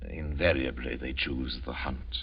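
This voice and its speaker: An actor playing a cultured, boastful general who hunts men for sport, in 1940s radio drama style, savoring every word. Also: male voice, a bass voice, fairly even intonation, measured pace, husky, full character voice. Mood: calm, sinister. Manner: theatrical, urbane, boastful, savoring his words. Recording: dull lo-fi old radio recording.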